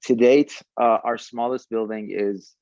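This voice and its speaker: A man's voice: lowish voice, fairly even intonation, unhurried, darkish tone, no room sound, muffled recording. A man talking conversationally as a podcast guest, explaining a product and often repeating words as he goes. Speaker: startup founder, podcast guest.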